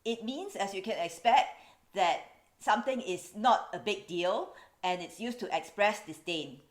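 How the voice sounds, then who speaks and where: A woman in her forties from Singapore with a Singaporean English accent, explaining things in a measured, wry vlog. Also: medium pitch, animated. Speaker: video host. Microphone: camera's built-in mic.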